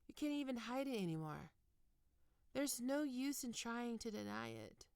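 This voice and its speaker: A woman playing a stern, teasing goth college professor in an ASMR roleplay, speaking deliberately and condescendingly into a close microphone. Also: a little high, sing-song, vocal fry, darkish tone, smooth, no echo. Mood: smug, teasing. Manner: condescending, taunting, deliberate with pauses. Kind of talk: ASMR roleplay, scripted monologue to listener.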